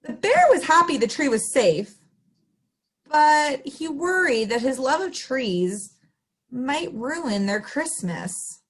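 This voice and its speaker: A woman's voice: sits high, very animated, slow pace, breathy edge. A woman hosting story time, reading to young children in an exaggerated, sing-song, interactive way. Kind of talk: animated picture-book read-aloud for young children.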